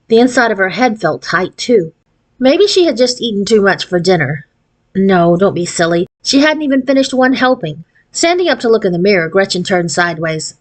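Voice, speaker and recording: A woman reading a children's storybook aloud in an expressive, narrating manner. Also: mid-range voice. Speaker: audiobook reader.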